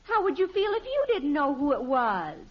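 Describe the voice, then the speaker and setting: A curious, easily offended American teenage daughter in vintage family radio comedy style. The voice is high and swoops with indignation. The recording sounds dull and old. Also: female voice, very animated, moderate pace. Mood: indignant. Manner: indignant, pleading. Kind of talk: 1950s radio sitcom acting.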